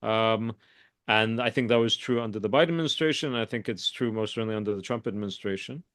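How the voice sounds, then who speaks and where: A man giving measured political analysis as an expert guest in an interview, speaking deliberately and carefully qualifying his points. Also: lowish voice, a bit bright, no room sound, clean studio sound. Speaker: guest expert, foreign policy scholar.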